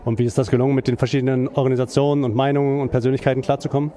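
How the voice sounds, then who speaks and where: A man's voice: medium pitch, level tone, rapid-fire, mellow. A man reporting from an event, reading a formal news narration and interviewing attendees.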